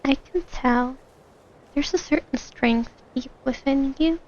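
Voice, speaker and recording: A woman's voice: sits high, takes it slowly, a little mellow. A woman streamer casually reacting to and reading along with a video game. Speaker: VTuber streamer playing a game. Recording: dull lo-fi sound, game music behind. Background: video game music.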